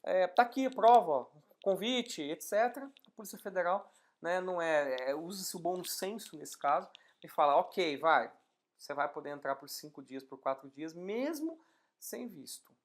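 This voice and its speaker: A woman teaching an exam-prep law class, explaining step by step and posing rhetorical questions with lively emphasis. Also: on the low side, moderate pace. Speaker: law instructor. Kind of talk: exam-prep legal lecture.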